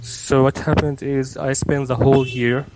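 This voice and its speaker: A man in his twenties, from Egypt and raised in Kuwait, answering interview questions hesitantly with many pauses and restarts. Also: mid-range voice, flat delivery, background noise. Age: 20s. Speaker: interview guest. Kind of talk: remote interview.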